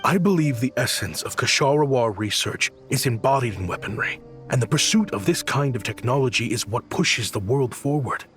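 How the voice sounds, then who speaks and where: An earnest, obsessive young male scholar in exile, played by a male actor for a video game. He speaks with dramatic intent at a middling pitch, and music plays behind his voice. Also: expressive, normal speed, a bit bright, lightly acted. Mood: eager, earnest. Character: exiled weapons researcher, earnest, eager, obsessive young man. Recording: studio voice over prominent music.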